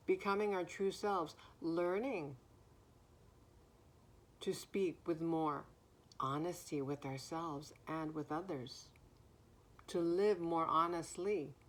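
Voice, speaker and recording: Slow, deep-voiced woman, a little hoarse. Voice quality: naturally deep voice. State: somewhat hoarse. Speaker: spiritual card reader. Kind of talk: spiritual message, slow and reflective.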